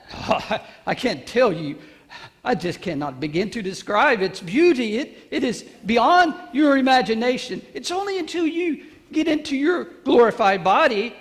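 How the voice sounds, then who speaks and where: Casting: male actor as boastful, excitable ancient carpenter for stage monologue; very animated, emphatic, halting delivery, long hall reverb. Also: high voice, full character voice. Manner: emphatic, halting, repeats phrases, addresses listeners directly. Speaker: monologue performer. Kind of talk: theatrical church monologue.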